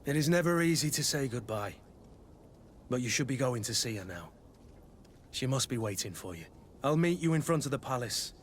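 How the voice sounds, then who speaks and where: An actor playing a gruff, loyal mercenary weapons master in a video game, wry and bantering. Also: male voice, mid-range voice, lively intonation, moderate pace, pauses often, slight background noise, lightly acted. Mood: gentle.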